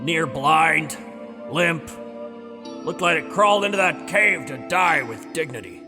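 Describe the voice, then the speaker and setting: An actor in an audio drama playing a gruff old dragon-slaying veteran, defensive and blustery, with a gravelly, rambling delivery. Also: male voice, fairly high pitch, animated, unhurried. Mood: haunted, weary. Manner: blustery, rambling storytelling, stammers when challenged. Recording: reverberant hall with music behind.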